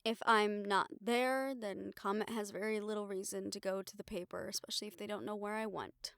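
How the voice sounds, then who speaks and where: A woman's voice: medium pitch, steady tone, brisk, a bit bright, smooth, no room sound, studio quality, natural voice. A woman acting a weary, sardonic, stubborn young newspaper reporter in an intimate audio roleplay, talking in a casual, wry, sweary way. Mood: tired, wry.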